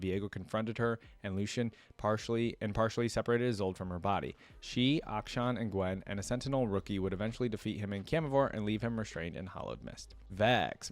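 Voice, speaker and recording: Guy with a lowish voice doing a reaction vid, reads stuff out and comments casually, really dry sound. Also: no echo. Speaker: reaction video host. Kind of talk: reading aloud with casual off-the-cuff commentary.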